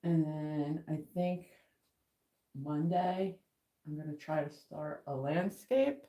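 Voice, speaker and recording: A woman's voice: sits low, takes it slowly, dark tone. A woman with a nasal voice hosting a casual live art stream, chatting to viewers in an informal, rambling, self-deprecating way. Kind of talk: casual livestream chat with viewers. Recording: echoey, roomy sound.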